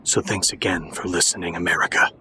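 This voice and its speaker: A man playing a sardonic podcaster in an audio drama, delivering a mocking monologue with drawling, deadpan sarcasm. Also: low voice, fairly quick, slight background noise.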